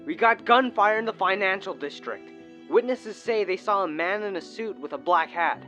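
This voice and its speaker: A man playing a brisk police officer calling in a report in an audio crime drama, official in manner. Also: sits high, expressive, sharp tone, soft background music. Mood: urgent, businesslike.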